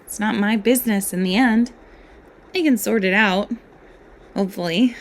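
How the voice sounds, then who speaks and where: A woman acting a playful, nerdy, excitable fairy student with a dramatic storytelling manner in an amateur voiced roleplay. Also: mid-range voice, normal speed, lightly acted. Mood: playful, chatty.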